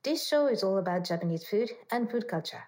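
A woman's voice: medium pitch, animated, a little fast, darkish tone. Woman hosting a food podcast. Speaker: podcast host. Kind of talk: radio interview with scripted introduction.

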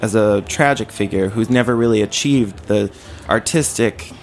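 A man's voice: lowish voice, moderate pace. A man chatting casually and informally as a guest on a talk panel. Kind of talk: casual chat. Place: studio. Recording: clear background ambient noise.

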